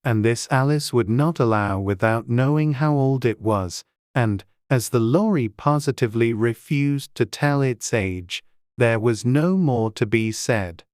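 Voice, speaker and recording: A man's voice: medium pitch, a little slow, darkish tone, dry recording. Man narrating a storybook, dry close mic.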